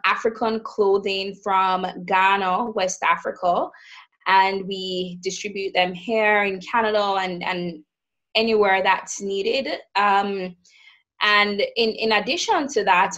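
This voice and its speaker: A woman's voice: mid-range voice, rough recording. A woman from Jamaica, a business leader, talking as a podcast guest with many fillers and restarts. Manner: warm, with frequent fillers and repeated starts. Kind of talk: conversational interview.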